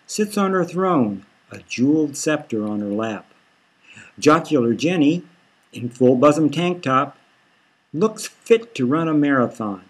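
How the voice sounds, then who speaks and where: Male audiobook narrator, author-read satirical fiction; husky, unhurried delivery. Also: medium pitch. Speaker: author reading own novel.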